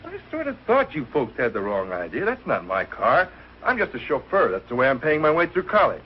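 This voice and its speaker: A courteous young American college man, earnest and eager. His pitch runs a little high with lively swings. It is a muffled 1940s sitcom broadcast with faint hiss. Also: a little fast. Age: young adult. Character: earnest, polite young college suitor working as chauffeur.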